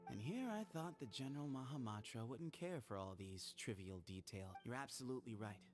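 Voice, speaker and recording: Male actor as youthful game character, earnest heir, average pitch, sincere delivery, music behind. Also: lively intonation, a little fast, natural voice. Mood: sincere, grateful. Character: earnest young man, heir of a temple. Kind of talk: video game dialogue. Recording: game audio over background music.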